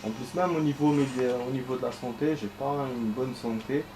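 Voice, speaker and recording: A man's voice: medium pitch, moderate pace. A man giving casual, rambling gameplay commentary, swearing now and then and talking to his viewers as friends. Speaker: gameplay streamer. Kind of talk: casual let's-play commentary. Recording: echoey room with clear background noise.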